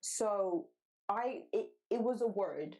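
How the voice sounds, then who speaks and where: A woman's voice: mid-range voice, expressive, takes it slowly, darkish tone. A woman who grew up between the Netherlands, Sudan and Wales, a guest in a casual podcast chat, talking animatedly and emphatically, swearing freely and cutting in mid-sentence over a video call.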